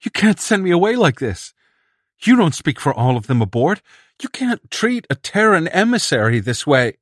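A man voicing a male Earth envoy who talks quickly, pleading and smoothly persuasive. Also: lowish voice, dry recording, studio quality, pitched above the performer's own voice, natural voice. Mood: protesting.

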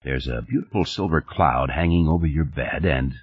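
A man's voice: very deep voice, normal speed, breathy edge, phone-quality sound, pitched below the performer's own voice. A man voicing a tired father and husband in a novel, patient until irritated. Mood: gentle, patient.

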